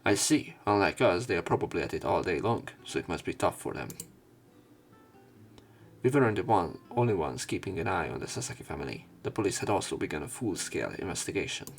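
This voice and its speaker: A man's voice: low-pitched, expressive, fairly quick, faint music behind. A man reading visual novel text aloud as a let's play narrator, sometimes stumbling and rereading lines.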